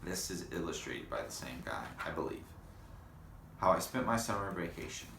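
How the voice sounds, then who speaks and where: A man teaching young children in a read-aloud lesson, speaking in simple, deliberate phrases and putting questions to them. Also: sits low, animated, vocal fry, fairly bright tone. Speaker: elementary school teacher. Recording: echoey room with faint background noise.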